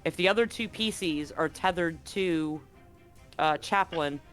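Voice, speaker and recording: Male game master, higher register, conversational rules talk with dramatic scene narration, faint music underneath. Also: a little slow, smooth. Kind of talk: running a live tabletop sci-fi roleplaying game, explaining rules and narrating. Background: soft background music.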